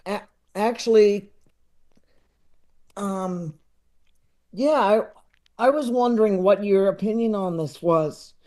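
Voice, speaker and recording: Man, thirties, podcast guest, slow halting delivery, soft mellow tone, casual rambling. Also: high voice. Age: 30s. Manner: halting, rambling, repeats words as he gathers thoughts. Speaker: podcast guest, comedy expert. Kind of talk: casual chat.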